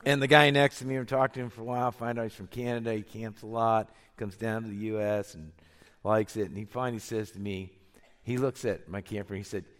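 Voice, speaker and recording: A middle-aged man preaching a sermon, his voice deeper than usual from a cold, telling stories in a conversational way with frequent chuckles. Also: fairly even intonation, brisk, a bit bright, in a large hall. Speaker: pastor.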